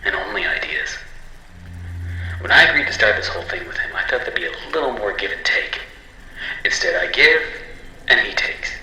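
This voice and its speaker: Sharp, echoey, weary animator on tape. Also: male voice, lowish voice, very animated, moderate pace, long pauses, heavily acted. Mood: wry. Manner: wry, reflective. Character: weary, put-upon male cartoon animator musing alone. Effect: old cassette recording filter. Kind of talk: recorded audio diary, game voice acting. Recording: noisy old cassette, echoey reverberant hall.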